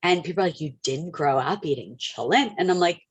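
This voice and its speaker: Woman, very low pitch, warm chatty storyteller on a webinar video call, dry room sound. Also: lively intonation, a little fast, slightly rough, no echo. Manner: chatty, storytelling, laughs easily. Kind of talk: webinar conversation.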